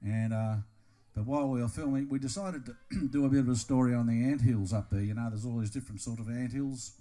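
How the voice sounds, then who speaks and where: A man's voice: mid-range voice, brisk. A man from Northern Victoria with an Australian accent, a singer-songwriter telling rambling stories with broad slang between songs at a live show. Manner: relaxed rambling yarn-spinner, broad slang. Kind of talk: storytelling between songs at a concert. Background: guitar playing.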